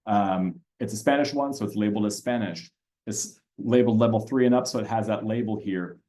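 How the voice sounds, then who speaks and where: Low-voiced man leading a teaching webinar. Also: a little fast, mellow. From Austria. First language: German. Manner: conversational, frequent fillers and restarts. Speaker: language teacher presenting a reading platform. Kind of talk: webinar walkthrough with screen sharing.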